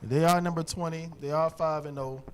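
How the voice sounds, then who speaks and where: Laid-back man ranking teams, fairly high voice. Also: fairly even intonation, fairly quick. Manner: slangy, laid-back, restarts mid-sentence. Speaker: podcast host ranking high school basketball teams. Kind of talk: casual panel chat. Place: live show in a wings restaurant.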